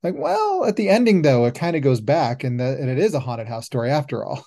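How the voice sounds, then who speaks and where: A man's voice: mid-range voice, very animated, a little fast, dark tone. A man hosting a podcast, musing aloud in a rambling way with many fillers during a casual roundtable chat. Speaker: podcast host.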